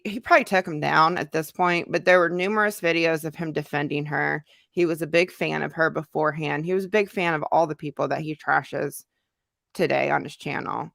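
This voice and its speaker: American woman doing a chatty livestream, lowish voice with lots of fry, super dry close sound. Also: a bit bright, dry recording. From United States. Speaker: livestream host, YouTuber. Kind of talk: casual livestream chat, answering viewer comments.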